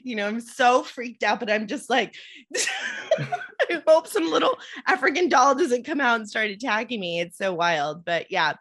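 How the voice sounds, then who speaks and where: A woman from the United States hosting a podcast, talking in an animated, excitable way with plenty of fillers. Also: on the high side, measured pace, husky. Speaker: podcast host, nurse practitioner. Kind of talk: casual podcast interview.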